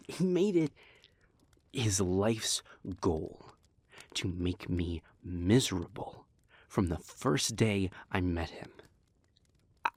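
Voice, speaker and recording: A man voicing a bitter male vampire in an audio roleplay, talking bluntly and irritably with plenty of swearing, in a gravelly, breathy voice. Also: medium pitch, dry recording, heavily acted. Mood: agitated. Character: bitter young-turned male vampire, the listener's partner.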